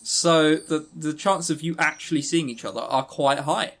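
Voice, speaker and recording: A man's voice: fairly high pitch, moderate pace, fairly bright tone. A man co-hosting a podcast in casual chat, joking and teasing with a mock-serious manner. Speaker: podcast co-host.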